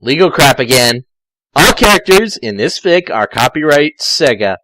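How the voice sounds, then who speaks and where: A man's voice: on the high side, big pitch swings, normal speed, sharp tone, no echo, low-quality recording. A man reading a story aloud informally, theatrical and often stumbling or correcting himself. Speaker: amateur fan fiction reader.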